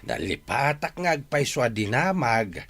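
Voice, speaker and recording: A man plays an older father in a radio drama. He talks slowly and haltingly, with a voice that swings widely in pitch. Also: very animated, a bit bright, soft background music, full character voice. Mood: startled, hesitant. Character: older father, slow, startled, tender toward his son. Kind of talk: Ilocano radio drama acting.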